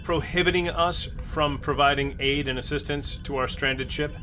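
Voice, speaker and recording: A man's voice: fairly high pitch, fairly even intonation, normal speed, pushed and bright, faint music behind. A man improvising as a starship captain in a tabletop role-playing game. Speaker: player acting as starship captain.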